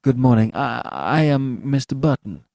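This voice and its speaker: A man voicing a nervous young Southern gentleman who fires off breathless, panicky questions as he waits to hear about his newborn. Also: medium pitch, lively intonation, normal speed, vocal fry, no echo, clean studio sound, pitched above the performer's own voice. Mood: desperate.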